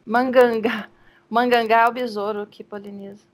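Woman player, slightly high voice, hesitant, thinks aloud, laughs a lot. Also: fairly even intonation, normal speed. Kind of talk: casual tabletop play.

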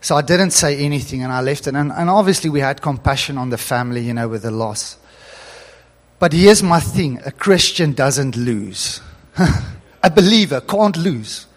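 A man's voice: fairly high pitch, expressive, normal speed. A male pastor whose first language is Afrikaans, telling a story in an earnest sermon that builds to emphatic preaching.

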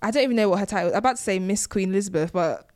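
A young British woman of Nigerian heritage co-hosting a casual podcast, asking teasing questions and trailing off quietly at the ends of her points. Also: medium pitch, racing. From Britain and Nigeria. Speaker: podcast co-host. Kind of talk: casual chat.

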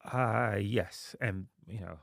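A man's voice: lowish voice, takes it slowly, vocal fry, no room sound, studio quality. A man in his forties, born in Haiti and raised in Queens, speaking as a podcast guest in an easygoing way with frequent filler phrases. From born in Haiti, raised in Queens, New York. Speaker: podcast guest, college dean. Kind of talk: relaxed conversational interview.

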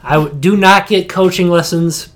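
A man's voice: sits high, fairly even intonation, moderate pace, fairly bright tone, slight background noise. A man co-hosting a podcast in casual pop-culture chat, slangy and opinionated in how he talks. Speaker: podcast co-host.

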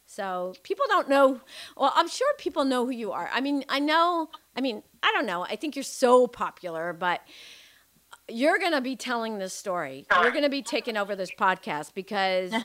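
A woman's voice: fairly high pitch, big pitch swings, moderate pace, a bit bright. A woman hosting a podcast interview, effusive and rambling, with many restarts. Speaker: podcast host. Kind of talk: casual interview chat.

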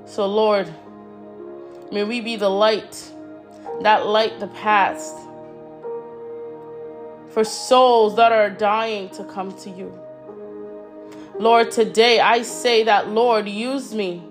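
A woman's voice: medium pitch, fairly even intonation, slow, pauses often, faint music behind. A woman leading a fervent spoken prayer, repeating her petitions and calling out "oh God" between phrases. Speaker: prayer leader.

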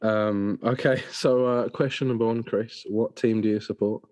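A man's voice: on the low side, steady tone, normal speed, darkish tone. A man co-hosting a podcast, bunged up with a cold and hesitant, with many fillers. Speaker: podcast co-host.

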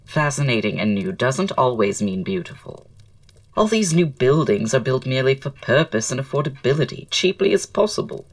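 An actor playing a witty, flamboyant Victorian writer and aesthete in an audio drama, speaking in a florid, languid, theatrical way. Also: male voice, mid-range voice, sing-song, moderate pace, recorded from a distance. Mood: wistful, opinionated.

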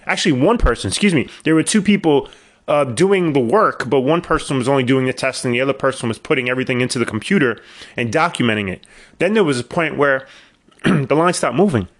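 A man's voice: mid-range voice, lively intonation, fairly quick. A middle-aged man, hosting his own podcast and telling long rambling stories with wry asides, his voice a little worn after illness. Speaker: podcast host. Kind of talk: casual solo podcast monologue.

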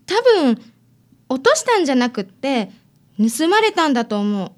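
A stubborn young woman consulting a detective in a radio drama, acted by a woman. Her voice is high and moves animatedly in pitch. She talks in a casual, youthful way. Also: sing-song, moderate pace. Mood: hesitant.

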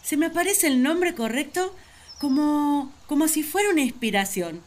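A woman's voice: sits high, lively intonation, moderate pace, a little mellow. A woman playing Eve, an earnest, talkative young first woman, telling her story in a radio play. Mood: self-assured. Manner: earnest, wistful, storytelling to the listener.

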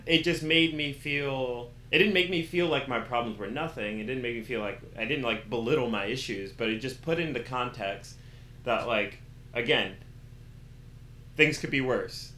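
A man's voice: mid-range voice, unhurried. A man being interviewed, talking casually and candidly, acting out quotes and using slang as he tells personal stories. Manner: animated storytelling with acted-out quotes and slang. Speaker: interview guest. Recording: roomy echo with faint background noise.

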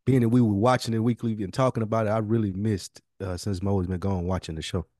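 A man's voice: on the low side, steady tone, quick pace, a little mellow. A man co-hosting a podcast, chatting casually in slangy, informal language with some swearing. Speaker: podcast co-host.

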